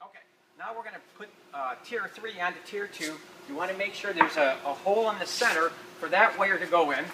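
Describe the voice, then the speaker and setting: A man's voice: high-pitched, brisk. A man giving practical, informal step-by-step instructions as he demonstrates an assembly. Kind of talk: hands-on instructional narration. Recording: noisy room, uneven distance from mic.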